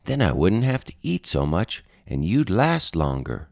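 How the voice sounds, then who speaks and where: Man voicing a grumbling low-voiced hungry lion. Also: very animated, a little slow, gravelly, darkish tone, no room sound, studio quality, heavily acted. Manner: grumbling, impatient. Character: grumbling, greedy, scrawny hungry male lion. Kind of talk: picture-book character voice.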